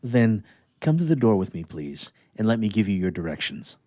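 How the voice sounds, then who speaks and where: A man playing a grave, courteous young doctor in a sentimental radio theatre story, speaking in a measured, earnest way. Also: fairly low pitch, big pitch swings, mellow, no room sound. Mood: grave, directing.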